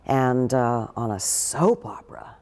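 Deep-voiced older woman, animated storyteller. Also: a little slow, big-room sound. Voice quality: deep, big voice. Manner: expressive, gestures a lot while talking. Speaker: actress interviewee. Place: living room with a pool table, indoors.

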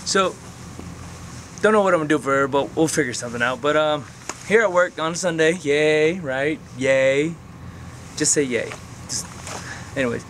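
Young male vlogger, slightly high voice, loose rambling delivery, outdoor camera mic with faint background hiss. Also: moderate pace, long pauses, fairly bright tone. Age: 20s. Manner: rambling, frank, swears casually. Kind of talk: casual talk to camera. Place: outdoors on open flat ground. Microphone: camera mic, close framing.

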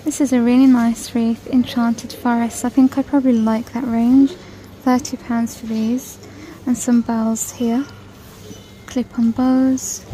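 A woman's voice: fairly high pitch, flat delivery, measured pace, dark tone, poor audio. Chatty woman browsing a shop. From United Kingdom. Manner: chatty, listing prices while browsing. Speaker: shopping vlogger. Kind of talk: casual shop-with-me narration. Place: large store aisle, indoors. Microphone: handheld phone camera.

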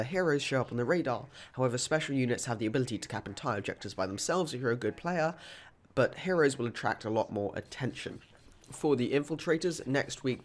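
A man with a sore throat giving a quick, upbeat voice-over guide to a video game. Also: medium pitch. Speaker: gaming YouTuber.

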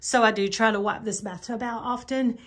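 A woman's voice: a little high, steady tone, normal speed. A woman talking casually in a home vlog, rambling and correcting herself in a conversational way as she goes. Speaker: home and cleaning vlogger. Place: rooms inside a house, bedroom and bathroom.

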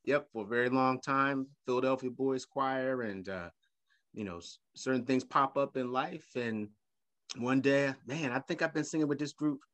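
A middle-aged man speaks from a room at home over a video call. His voice has a bright, ringing edge. He is animated and playful, repeating words as he goes. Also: mid-range voice. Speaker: guest. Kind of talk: casual video-call interview. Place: home room with leather couch.

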